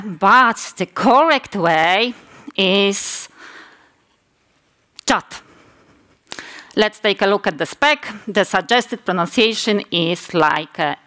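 A woman in her forties with a Polish accent, a native Polish speaker giving a technical conference talk on a hall stage at a lectern mic, measured and often pausing to correct herself. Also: mid-range voice, bright, forceful tone. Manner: measured, with frequent hesitations and self-corrections.

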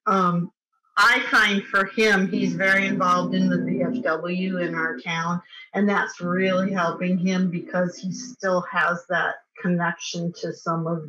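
A woman in her sixties speaking in a measured, thoughtful way as a panel guest on a video call from home. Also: fairly low pitch, bright, forceful tone, highs cut off. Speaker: panel guest, veteran's wife. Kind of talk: reflective panel talk. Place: room at home, indoors.

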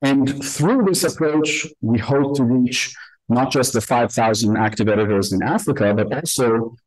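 Male conference speaker, medium pitch, deliberate and emphatic explainer, dry recording. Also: no echo, low-quality recording. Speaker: conference presenter. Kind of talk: explanatory conference presentation with slides. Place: indoor conference room.

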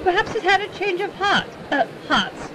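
A woman playing a lively, outspoken human companion in a sci-fi audio drama, blunt and informal. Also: very high voice, moderate pace, crowd noise, background noise. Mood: chiding.

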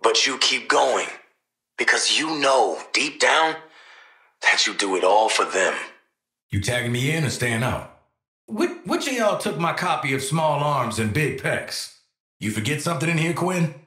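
A man playing a cocky, gruff mercenary sharpshooter in a video game, with an American accent and a blunt, streetwise, wisecracking delivery. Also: medium pitch, sing-song, a little slow, pushed and bright, dry recording, full character voice. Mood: earnest, resolute, then wry, off-duty. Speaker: voice actor.

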